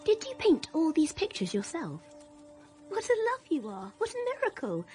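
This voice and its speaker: A woman's voice: very high voice, huge pitch swings, moderate pace, a little mellow, faint music behind, full character voice. An actress playing a flighty, gushing young rich girl in a period radio drama, teasing and effusive.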